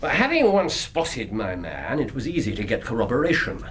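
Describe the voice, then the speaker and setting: An actor playing a brilliant Victorian English detective in a radio drama, explaining things with theatrical precision. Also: male voice, medium pitch, sing-song, normal speed, a bit bright, a little hiss or hum. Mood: precise, satisfied.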